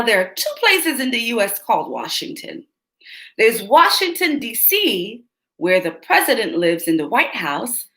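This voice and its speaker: Expressive woman reading a kids' story. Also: fairly high pitch. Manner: expressive, teacherly, asks kids questions. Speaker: children's story reader. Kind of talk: read-aloud storytelling for young kids. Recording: roomy echo.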